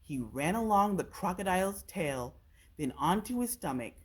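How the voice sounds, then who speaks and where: A woman's voice: lowish voice, animated, moderate pace, husky. A woman reading a children's storybook aloud as a storytime narrator. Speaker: storytime reader.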